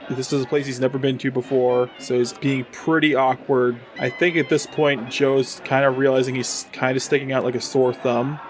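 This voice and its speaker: A man chatting casually as a player in a tabletop role-playing game, talking through his character's moves. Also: mid-range voice.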